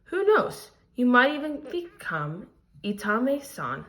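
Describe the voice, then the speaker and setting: An earnest father and sushi chef from a storybook, read by a woman. He is instructive and encouraging, with animated, rising and falling phrasing. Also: on the high side, slow, a little hiss or hum, voice pushed up, exaggerated voice. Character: demanding but encouraging Japanese immigrant father, a sushi chef.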